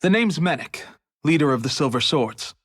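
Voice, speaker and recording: A wry, guarded rebel leader. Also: male voice, a little high, lively intonation, no echo. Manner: dry, measured, guarded. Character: wary male rebel leader, middle-aged. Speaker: resistance leader. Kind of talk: video game voice acting.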